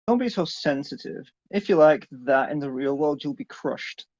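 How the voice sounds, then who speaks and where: A man's voice: a little high, lively intonation, moderate pace, a little mellow, dull audio. A man playing a stern, middle-aged businessman father, curt and commanding, in a dramatic story reading. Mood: dismissive.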